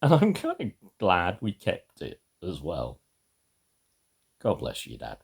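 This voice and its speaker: A very low-voiced man with a husky, gravelly tone speaks slowly and personally to camera. His delivery is halting and emphatic, swinging dramatically from low mutters to raised words. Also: huge pitch swings. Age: middle-aged or older. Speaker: video maker giving a reading. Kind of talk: rambling personal talk to camera.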